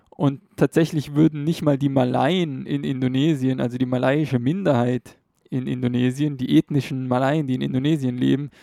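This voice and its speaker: A man talks through a topic in a relaxed, explanatory way, often pausing on fillers and correcting himself. His voice is dark and gravelly, recorded dry and close. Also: mid-range voice, no room sound. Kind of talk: casual educational talk.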